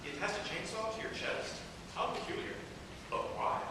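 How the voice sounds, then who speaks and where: A man's voice: high voice, moderate pace, distant mic, lightly acted. A man playing a quirky, vain surgeon in a theatrical way in an amateur comic stage play. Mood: fascinated. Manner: theatrical, exclamatory. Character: quirky, vain budget surgeon, grandly bemused. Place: indoor room, live performance. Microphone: distant camera. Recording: echoey hall from afar, noisy.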